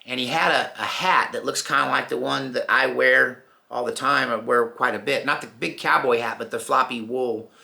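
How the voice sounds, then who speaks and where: Middle-aged man, American accent, gravelly fry, bright hard-edged tone, folksy informal storyteller, reflective pauses. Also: mid-range voice. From Texas, United States. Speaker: storyteller, channel host.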